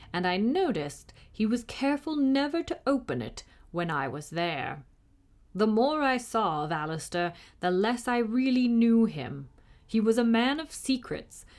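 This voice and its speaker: A proud little knight from a storybook, voiced by a woman reader. He speaks in formal, old-fashioned phrases with great dignity and is easily indignant. The pitch moves in lively swings. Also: lightly acted. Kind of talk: storybook character reading.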